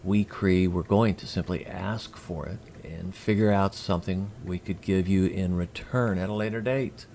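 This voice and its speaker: A man playing a polite, curious alien emissary in an audio drama, with a gravelly voice and formal, measured, precise speech. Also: deep voice, a little mellow, a little hiss or hum. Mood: earnest, measured.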